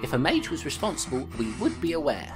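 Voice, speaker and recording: A tough, wry constable in an audio drama, her voice low but swinging widely. She talks bluntly and guards what she says. Music plays behind her. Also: wildly swooping pitch, fast. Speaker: constable narrating her case. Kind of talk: audio drama narration and dialogue.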